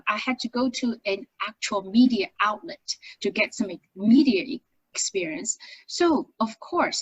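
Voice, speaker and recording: Casting: middle-aged Chinese-accented businesswoman, husky voice, dry close sound, animated storyteller. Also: medium pitch, no room sound, poor audio. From China. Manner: lively, animated storytelling with frequent restarts. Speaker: business founder and accent coach. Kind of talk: interview storytelling.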